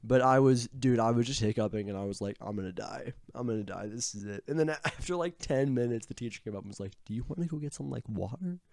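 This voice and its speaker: A man's voice: mid-range voice, expressive, fast, vocal fry, hardly any pauses, a little mellow, no room sound, clean studio sound. A young man co-hosting a podcast in casual joking chat, still coughing as he recovers from an illness and laughing often. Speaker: podcast co-host.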